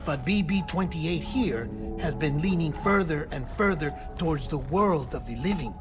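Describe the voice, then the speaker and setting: An actor playing a smooth-talking, clinical scientist in a cinematic video game, explaining things in theatrical, precise phrasing. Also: male voice, a little high, expressive, normal speed, over loud music, natural voice. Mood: measured, explanatory. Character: fussy, smooth-talking male scientist-doctor, clinical and secretive.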